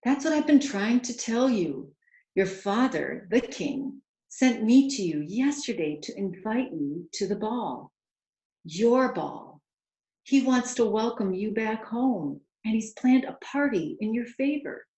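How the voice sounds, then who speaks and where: A woman's voice: a little high, lively intonation, slow pace, warm tone, voice pushed up. An eager, kindly storybook manservant, acted out by a woman, pleads with earnest urgency. The voice is lifted above normal talk and has a roomy echo around it. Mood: excited, exclaiming. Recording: roomy, echoing home recording.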